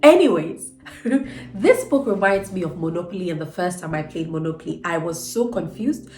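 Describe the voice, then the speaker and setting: A woman's voice: on the low side, animated, moderate pace, a little mellow. Woman host with a cold, nasal and earnest, stretches key words; roomy echo, faint music bed. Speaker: channel host. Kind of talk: motivational book breakdown. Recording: echoey room with faint music.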